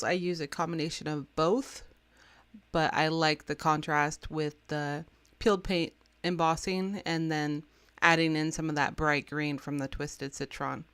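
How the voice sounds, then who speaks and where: A young woman, a little raspy from having just woken up, chats through a card-making tutorial in a voice-over, messy and self-deprecating. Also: low-pitched, no room sound. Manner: chatty, self-deprecating, with a few restarts. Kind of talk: casual voice-over craft tutorial.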